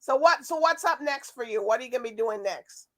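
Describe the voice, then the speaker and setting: A woman's voice: fairly high pitch, animated, fairly quick, sharp tone, no room sound. A woman hosting an interview, upbeat and laughing between phrases. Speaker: host. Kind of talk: casual interview chat. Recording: distant mic with crowd noise.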